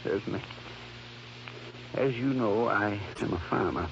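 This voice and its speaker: An actor playing a sick, weary farmer in an old-time radio drama, earnest and fearful, with weak, labored delivery. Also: male voice, fairly low pitch, expressive, a little slow, gravelly. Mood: weary, troubled. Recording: dull vintage radio recording, faint hum.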